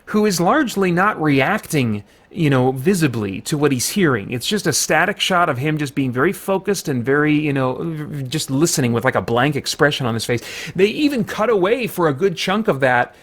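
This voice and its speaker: A man with a slightly high voice delivers a casual spoken film review. He is conversational and rambles a bit, qualifying his points as he works through his explanation. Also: big pitch swings, normal speed, hardly any pauses, a bit bright.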